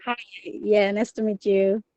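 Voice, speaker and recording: A woman student with an Indonesian accent answers slowly in hesitant English, pausing often on fillers. Her voice comes through a dry, dull video call line. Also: mid-range voice, flat delivery, dark tone, dry recording.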